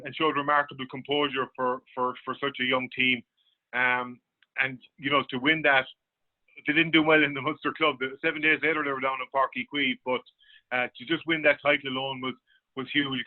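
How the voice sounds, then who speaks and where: An Irish man, a sports journalist, recapping a match in a radio sports discussion. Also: mid-range voice. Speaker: sports journalist, guest pundit. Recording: thin phone-line radio sound.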